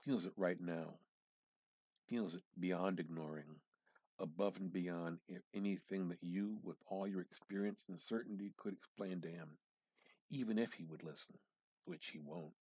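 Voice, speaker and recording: A man giving an amateur dramatic reading of literary fiction, theatrical and halting, with pauses and emphatic repetition. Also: low-pitched, a little mellow, husky, no echo. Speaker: amateur reader.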